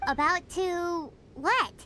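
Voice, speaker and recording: A woman voicing a tiny, childlike fairy companion in a video game, squeaky, cheeky and excitable. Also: slow pace, slight background noise, heavily acted.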